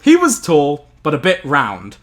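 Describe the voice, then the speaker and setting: A man's voice: a little high, huge pitch swings, unhurried. A man hosting and reading a story aloud among friends, playful and mock-dramatic, slipping into casual banter.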